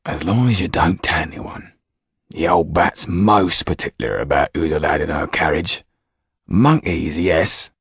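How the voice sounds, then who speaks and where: A man's voice: a bass voice, expressive, measured pace, gravelly, slightly rough, dry recording, studio quality, exaggerated voice. A man voicing a resentful coachman in an audiobook, grumbling and sarcastic.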